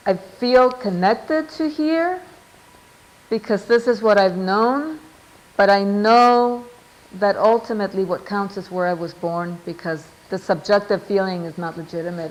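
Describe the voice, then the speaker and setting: A middle-aged woman lecturing at an academic seminar. She speaks in a measured, thoughtful way, pausing with fillers and asking "right?" to keep her listeners with her. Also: mid-range voice, low-quality recording. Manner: measured, reads out quotes, frequent ums and "right?". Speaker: researcher giving a seminar talk.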